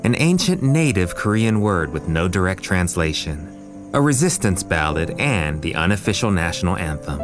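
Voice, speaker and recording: An actor playing a solemn storyteller, narrating an audio drama in a poetic, scripted style. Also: male voice, deep voice, sing-song, moderate pace. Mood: solemn, lyrical. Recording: loud music behind narration.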